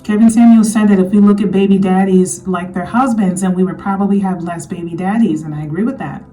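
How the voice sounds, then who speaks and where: A woman giving an earnest commentary monologue on her channel, slightly hoarse, often repeating her points to drive them home. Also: medium pitch, fairly even intonation, brisk, warm tone, distant mic, soft background music, low-quality recording. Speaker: vlogger.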